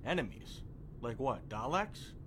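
An actor playing an excitable, apologetic young friend in an audio drama, questioning and hesitant in manner. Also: male voice, mid-range voice, unhurried, noisy background. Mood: curious. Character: jittery, apologetic young friend named Tavy, easily amazed. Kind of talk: immersive audio drama roleplay acting.

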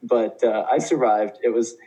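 A man's voice: medium pitch, fairly even intonation, moderate pace, warm tone, rough recording. A man in his thirties from Oklahoma, a teacher, talking casually as a guest in an interview, with many fillers and restarts. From Oklahoma, USA. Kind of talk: conversational interview.

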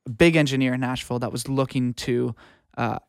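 A man's voice: mid-range voice, fairly even intonation, brisk, gravelly, clear, resonant tone, no echo, clean studio sound. A man speaking informally and earnestly as a guest in a casual interview, giving career advice. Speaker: guest, music producer and audio engineer.

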